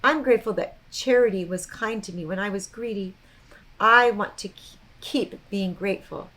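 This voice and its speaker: Woman as an earnest, gentle storybook bird. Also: medium pitch, normal speed, natural voice, rough recording. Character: earnest male bird determined to stay grateful.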